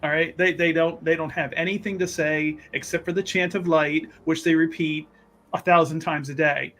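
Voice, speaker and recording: A man's voice: on the high side, fairly bright tone, close to an everyday voice. A man playing a tipsy, opinionated fantasy tavern-goer in a tabletop game, rambling wryly and emphatically.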